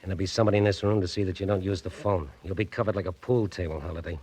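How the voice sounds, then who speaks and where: A husky, lowish-voiced American gangster speaks in curt threats. He is a menacing henchman from a 1940s radio crime drama, terse and hard. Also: steady tone, very fast, warm tone. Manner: curt, threatening tough talk.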